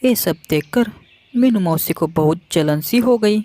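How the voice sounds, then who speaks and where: Slow-paced woman narrating a children's story. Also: fairly low pitch, animated, dark tone.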